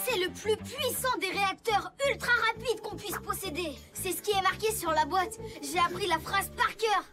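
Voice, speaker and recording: A woman's voice: very high-pitched, normal speed, music in the background. A woman voicing a young boy rescue motorbike in a children's cartoon, eager, earnest and chatty. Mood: eager pleading. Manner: eager, wide-eyed, chatty. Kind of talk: animated children's cartoon dialogue.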